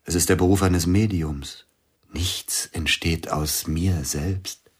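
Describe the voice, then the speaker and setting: An actor playing a celebrated pianist, melancholy and weary, speaking softly and often in a whisper in a radio drama. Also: male voice, low-pitched, fairly even intonation, slow pace, husky, full character voice. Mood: despairing. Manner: soft, mournful, often whispering.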